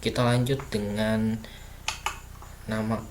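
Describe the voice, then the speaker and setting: Slow, halting man teaching coding. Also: fairly low pitch, flat delivery, noisy background. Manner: slow, halting, thinking aloud with fillers and self-corrections. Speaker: self-taught coding tutor. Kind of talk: casual screen-recorded coding lesson.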